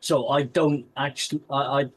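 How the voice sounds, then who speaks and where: Crisply enunciating Welsh man. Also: mid-range voice, steady tone, normal speed. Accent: mild Cardiff. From Cardiff, Wales. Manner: carefully enunciated, clears throat. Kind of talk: casual livestream chat.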